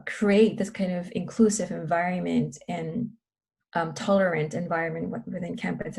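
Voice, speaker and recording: A woman researcher in a casual panel discussion, talking thoughtfully and pausing often with fillers. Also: fairly low pitch, gravelly, darkish tone. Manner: thoughtful, pauses with many fillers. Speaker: researcher guest.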